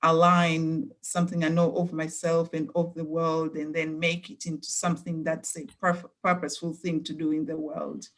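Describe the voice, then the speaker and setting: A woman in her fifties from Tanzania, talking thoughtfully as an interview guest with many pauses and restarts. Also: low-pitched, monotone. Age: 50s. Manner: thoughtful, hesitant with fillers and restarts. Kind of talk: conversational interview.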